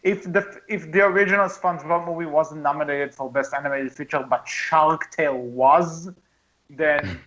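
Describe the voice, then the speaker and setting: A man with a fairly high voice chats casually as a podcast co-host. He talks haltingly and circles back over his phrases, and the recording is dull and lo-fi. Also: fairly even intonation, fairly bright tone. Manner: halting, rambling, repeats phrases. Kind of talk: casual podcast chat about animated films. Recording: dull lo-fi voice chat audio.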